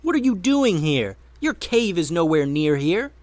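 A man voicing a bold young woman archer who speaks in a sharp, raised, questioning way. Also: fairly high pitch, sing-song, measured pace, no echo, pitched above the performer's own voice. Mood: demanding.

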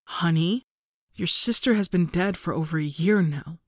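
A narrator voicing a worried middle-aged mother who speaks gently and sometimes in a whisper. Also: medium pitch, normal speed, no echo, studio quality, natural voice. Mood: gentle.

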